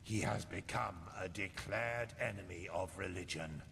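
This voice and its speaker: An actor playing a scornful officer of a holy order in a fantasy game, formal and pointed in delivery. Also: male voice, unhurried, gravelly, pushed and bright, heavily acted. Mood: disdainful. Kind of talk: cinematic fantasy game voice acting. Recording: reverberant hall, faint rumbling noise.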